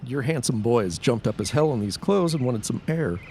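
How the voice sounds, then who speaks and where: A folksy, easygoing young man played by an actor with very lively intonation. It is audio drama, with noticeable outdoor ambience and birdsong behind him. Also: mid-range voice, unhurried, slight background noise. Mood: amused.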